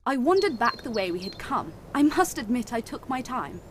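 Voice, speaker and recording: An actress playing a genteel Edwardian general's wife with a British accent, refined and wistful, narrating in a radio play. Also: female voice, a little high, very animated, moderate pace, a little hiss or hum. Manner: refined, wistful, period diction. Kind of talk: radio play acting, first-person narration.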